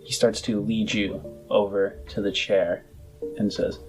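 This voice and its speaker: A man working as game master, improvising descriptive narration for a tabletop roleplaying game with halting phrases and dramatic pauses. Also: on the low side, little pitch movement, darkish tone, faint music behind, rough recording.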